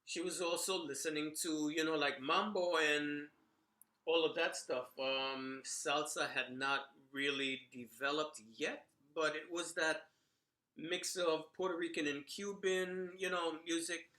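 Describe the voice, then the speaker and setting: Middle-aged New man, bright, slightly high voice, chatty storyteller, echoey room. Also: steady tone, a little slow. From New York, Bronx. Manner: conversational, frequent "you know" and "right?". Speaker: commentator sharing memories. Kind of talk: casual monologue.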